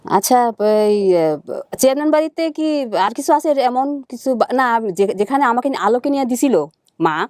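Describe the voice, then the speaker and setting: A Bangladeshi woman with a soft-toned, fairly high voice speaks quickly as a guest on a show. She asks probing questions in a casual, halting way, breaking off and restarting. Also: very animated, no echo, studio quality.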